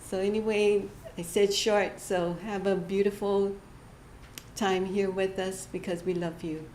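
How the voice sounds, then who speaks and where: A woman giving a short inspirational church message, gentle and halting, often laughing at herself. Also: medium pitch, fairly even intonation. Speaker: church speaker. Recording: echoey hall with faint room noise.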